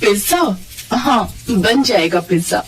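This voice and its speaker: A woman's voice: medium pitch, animated, takes it slowly, soft background music, rough recording. A woman voicing a devoted, reassuring wife and mother in a moral storybook tale. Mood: hopeful.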